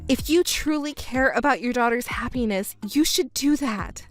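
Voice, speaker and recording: A woman voicing a composed young adult stepdaughter in a dramatized story, measured and polite but cutting. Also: a little high, expressive, faint music behind, natural voice. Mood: stern.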